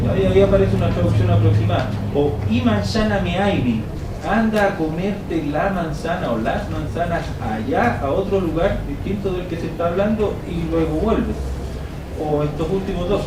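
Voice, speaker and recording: A man giving an academic conference presentation. He explains step by step and checks in with the audience through frequent tag questions. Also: high voice. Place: conference hall. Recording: echoey hall, distant mic, noisy.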